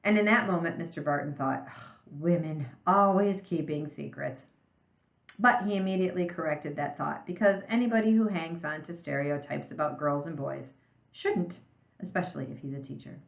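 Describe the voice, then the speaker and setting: A woman narrating a children's chapter book aloud in an expressive storybook reading style. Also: deep voice, fairly quick, vocal fry. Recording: echoey room, slightly muffled sound.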